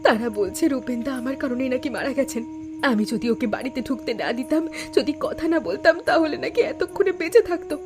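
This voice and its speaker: Young wife female voice actor, anguished and earnest, quiet-natured but firm, cartoon drama over a clear music bed. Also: sits high, a little fast, natural voice. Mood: anguished, guilt-ridden. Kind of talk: Bengali cartoon melodrama voice acting.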